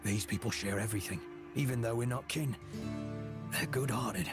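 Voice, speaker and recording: An actor playing a sincere, slightly defensive villager in a fantasy game, plain-spoken and insistent. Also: male voice, medium pitch, normal speed, over music, lightly acted. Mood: earnest, defensive. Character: sincere, slightly defensive male villager, newly converted believer.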